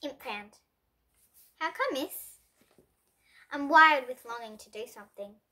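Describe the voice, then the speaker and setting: A young girl reads a children's story aloud in a high, clear voice. She adds expression to the dialogue, lifting her tone for the different characters. The sound stays bright and sharp throughout. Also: lively intonation, slow pace. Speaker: storybook reader. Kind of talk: reading a picture book aloud.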